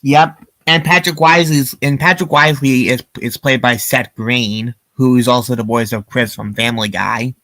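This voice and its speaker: Man, informal amateur reader, halting delivery, dry recording. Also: mid-range voice, steady tone, pushed and bright, no room sound. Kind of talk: casual amateur read-aloud of story parts.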